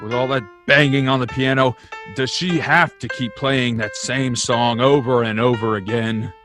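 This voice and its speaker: A man's voice: mid-range voice, fairly even intonation, normal speed, over music, close to an everyday voice. A man playing an earnest, hot-tempered young small-town man in a radio drama, emphatic and impassioned. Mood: irritable. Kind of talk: old-time radio drama acting.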